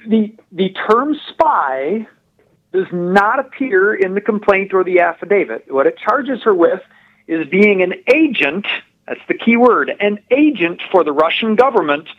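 A high-pitched male voice comes through a dull, narrow telephone line. He is a guest on a talk show, telling his story conversationally and explaining details with animated, precise emphasis. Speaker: political blogger, phone-in guest.

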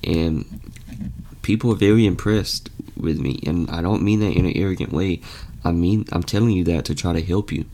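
A man's voice: low voice, normal speed, mellow. A young man in his late teens hosting a podcast, talking earnestly and casually with lots of fillers and restarts. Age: young adult. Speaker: podcast host. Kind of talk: casual motivational podcast talk.

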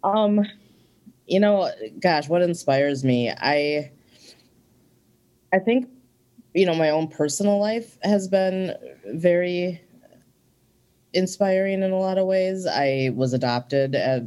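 An interview guest in their forties who grew up in Minnesota. They speak in an earnest, reflective way, stopping often on "um" as they think aloud. The voice sits at an ordinary middle pitch. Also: slow, long pauses, a little mellow. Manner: earnest and reflective, with frequent ums. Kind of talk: casual interview conversation.

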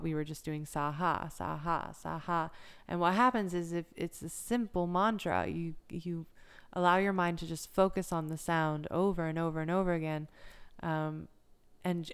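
A thirty-year-old American woman with a low, very smooth and clean voice. She speaks informally and reflectively, like a yoga teacher chatting in an interview. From United States. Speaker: yoga instructor, interview guest. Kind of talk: casual conversational interview.

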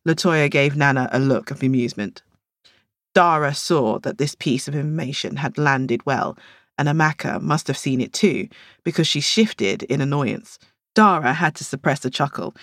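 A woman's voice: sits low, moderate pace, no echo, studio quality. A woman reading scripted fiction as an audiobook narrator.

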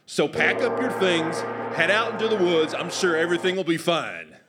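A man playing a tired, exasperated father with a Southern US accent in improvised comedy, loud and scolding. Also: on the high side, normal speed, heavy background noise, pitched above the performer's own voice, lightly acted. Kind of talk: improvised comedy storytelling acting.

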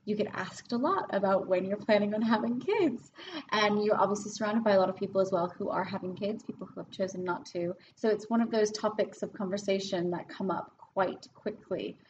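A woman in her early thirties, a cast member, speaking in an articulate, brisk way in a rehearsal room. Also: mid-range voice, darkish tone, far from the mic, highs cut off, slight background noise. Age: 30s. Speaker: actor in the cast. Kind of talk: casual group interview.

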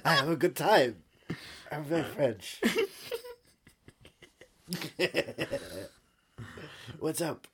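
A man putting on a jokey film actor in improvised comic banter, husky, rambling and giggly. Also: on the high side, a little slow, a little hiss or hum, close to an everyday voice. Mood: giggly, boastful. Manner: playful, rambling, frequently breaking into giggles.

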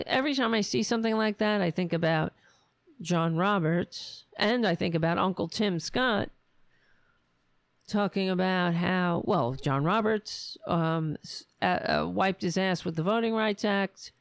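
A woman with a gravelly, fraying voice works through an angry, profane rant as host of her own commentary show, taking her time over each point. She is plainly losing her voice, and the sound is close and dry. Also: on the low side, measured pace, no echo. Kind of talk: solo political commentary show.

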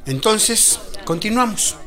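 An actor playing a patient, encouraging doctor in a radio soap, with a Mexican accent and a didactic, deliberate delivery. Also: male voice, high-pitched, lively intonation, fairly bright tone, full character voice. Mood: instructive. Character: patient, encouraging male doctor teaching village women.

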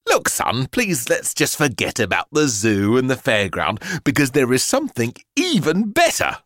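A man's voice: medium pitch, huge pitch swings, moderate pace, fairly bright tone, dry recording, clean studio sound. A man voicing a jolly but long-suffering mother in a storybook, coaxing and effusive. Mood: exasperated, eager.

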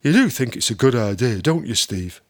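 A man's voice: medium pitch, big pitch swings, moderate pace, close to an everyday voice. A man voicing a blunt, casual band manager with a Northern English accent and an offhand manner, in an audiobook. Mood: breezy.

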